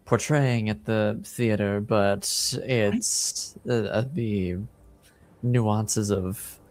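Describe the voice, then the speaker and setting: A man's voice: lowish voice, a little mellow, clear, resonant tone. A man voicing a polite, cautious noblewoman adventurer in a tabletop game, with formal, hesitant phrasing. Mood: mildly puzzled.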